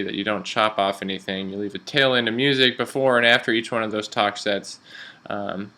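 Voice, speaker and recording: A man working as a radio instructor, explaining software step by step in an informal, instructional way. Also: mid-range voice.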